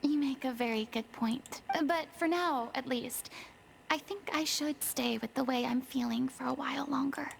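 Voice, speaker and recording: High, theatrical young woman performer. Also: normal speed, gravelly, a little hiss or hum. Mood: subdued, regretful. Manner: dramatic, formal, flowery phrasing. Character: flustered, theatrical young woman, former idol turned stage performer. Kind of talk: video game voice acting. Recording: loud music behind the voice.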